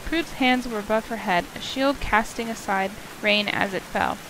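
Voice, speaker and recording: A woman's voice: medium pitch, moderate pace, background noise. A woman narrating a visual novel as a scripted story, reading descriptive prose aloud. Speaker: narrator, game player. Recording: loud music and rain behind. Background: game music and rainfall.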